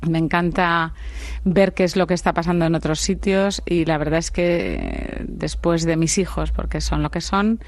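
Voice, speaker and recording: A woman from Spain, a business leader as the guest in a radio studio, answering in a reflective, unhurried way. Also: low voice, big pitch swings, darkish tone. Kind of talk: radio interview conversation.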